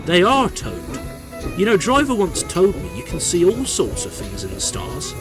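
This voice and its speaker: A man's voice: high-pitched, wildly swooping pitch, normal speed, music in the background, heavily acted. A man with a British accent voicing railway engines and railwaymen in a scripted storybook audio drama. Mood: earnest.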